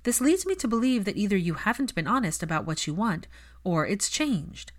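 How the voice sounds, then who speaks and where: A woman voicing an earnest young female healer in a story, calm but persistent, reasoning in an insistent way. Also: medium pitch, fairly quick, voice pushed up. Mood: firm.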